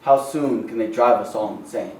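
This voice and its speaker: A man performing slam poetry at an open mic, impassioned and rhythmic, with dramatic pauses and rhymes. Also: fairly low pitch, darkish tone, slight background noise. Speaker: slam poet. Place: open mic venue with a small responsive audience. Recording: echoey live room, distant microphone.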